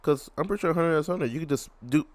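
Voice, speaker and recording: A man's voice: on the high side, rapid-fire. A man giving casual reaction commentary in a slangy, repetitive way. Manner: slangy, repeats himself. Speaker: reaction video creator.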